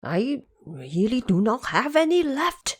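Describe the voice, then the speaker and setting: A woman's voice: on the high side, sing-song, slow pace, husky, voice pushed up, full character voice. A woman voicing an old, timid male dragon king of the sea, nervous, pleading and hedging. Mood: anxious.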